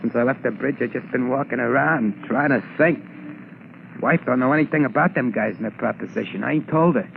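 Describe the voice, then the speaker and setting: Man acting nervous ex-con safecracker, creaky voice, rough street slang, old muffled radio show. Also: medium pitch, a little fast, natural voice. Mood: troubled. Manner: slangy, uneducated tough-guy speech, hesitant. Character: worried, fearful male ex-convict safecracker turned candy-store keeper. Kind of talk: 1940s radio drama acting. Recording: muffled, noisy 1940s radio broadcast.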